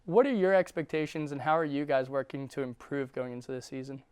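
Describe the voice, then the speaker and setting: Young male studio host, smooth fluent delivery, very clear tone. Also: medium pitch, fairly quick, a little mellow, dry recording. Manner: fluent, polished presenter delivery. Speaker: student TV sports show host. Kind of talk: scripted interview questions. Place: studio set.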